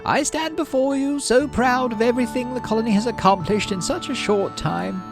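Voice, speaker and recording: A stately, proud woman governor, played by a man in a thin, weedy voice pitched far up. She orates formally, as in a story game, with music playing behind her. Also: normal speed. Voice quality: thin, weedy, high falsetto. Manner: stately speechmaking. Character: elderly-sounding weedy female colony governor, proud, formal orator.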